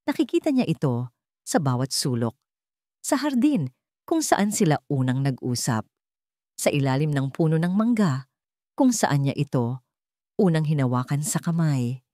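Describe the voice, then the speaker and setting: A woman's voice: low voice, a little mellow, no echo, studio quality. A woman with a Filipino accent reading a dramatic scripted story as its narrator.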